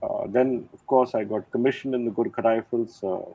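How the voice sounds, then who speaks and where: Retired older general, unhurried and reflective, husky voice, phone-quality dry audio. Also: male voice, mid-range voice, fairly even intonation, no room sound. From India. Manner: measured, reflective, many "uh" pauses. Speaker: retired army general, guest. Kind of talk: interview conversation. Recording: thin phone-like line, dry.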